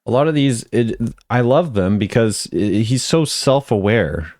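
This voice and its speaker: A man's voice: mid-range voice, expressive, measured pace, a little mellow, no echo, studio quality. A man giving casual chatty commentary as a video creator, often repeating phrases for emphasis. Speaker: video creator ranking game voice lines.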